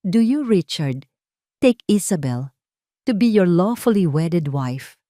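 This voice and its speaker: A woman narrator plays a wedding priest in an audio drama. He speaks formally and solemnly, in measured declarations, with little room sound. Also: lowish voice, expressive, dark tone, dry recording, clean studio sound.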